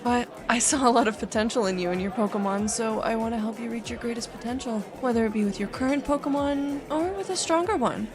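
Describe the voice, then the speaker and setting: A woman's voice: on the high side, fast talker, hardly any pauses, over music, close to an everyday voice. A woman voicing a confident, take-charge young female trainer in a fan fiction reading, friendly and teasing. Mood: frank encouragement.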